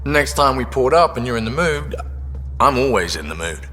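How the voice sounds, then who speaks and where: A man's voice: mid-range voice, very animated, pushed and bright. A man playing a roguish, easygoing space drifter in a video game, with a loose, teasing delivery. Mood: teasing, laid-back. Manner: loose, teasing, drawn-out phrases. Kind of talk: video game dialogue acting. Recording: long reverb, music behind.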